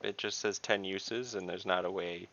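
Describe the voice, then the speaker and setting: A man's voice: sits low, fairly even intonation, normal speed, fairly bright tone, dry recording, highs cut off. A man chatting casually as a player in an online tabletop game.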